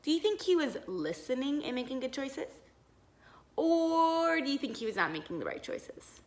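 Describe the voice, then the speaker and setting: A woman teacher reading a picture book aloud to young children, expressive and encouraging, pausing to explain and ask simple questions. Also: high voice. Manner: encouraging, expressive, exaggerated wonder, asks the listener questions.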